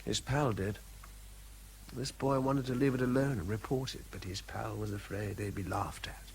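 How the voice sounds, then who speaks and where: An actor playing an exhausted, anxious English army officer in a radio drama, urgent and halting in his speech. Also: on the low side, steady tone, a little hiss or hum, natural voice, low-quality recording. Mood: weary, grave. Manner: halting, repeats himself under strain. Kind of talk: British radio drama acting.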